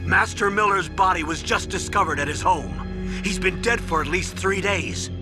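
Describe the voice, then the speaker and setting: A man acts as an earnest military commander in a game cutscene. His voice is high for a man and urgent, with loud music underneath. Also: normal speed, natural voice. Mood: urgent warning. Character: male military commander delivering urgent warnings by radio. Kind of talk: video game cutscene acting.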